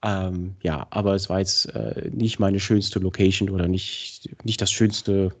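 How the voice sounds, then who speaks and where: A man hosting a podcast in casual chat, with a cold, chatty and filling pauses. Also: deep voice, flat delivery. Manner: chatty, many fillers. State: has a cold. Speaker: podcast host. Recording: dull lo-fi sound.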